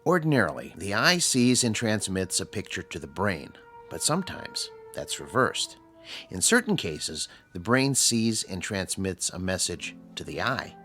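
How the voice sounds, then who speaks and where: An actor in a radio drama playing a patient psychiatrist who explains and probes with measured, calm authority. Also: male voice, deep voice, full character voice. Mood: patient, probing. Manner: measured, didactic, probing. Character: patient, reasoning male psychiatrist, calmly authoritative. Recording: radio drama with music underneath.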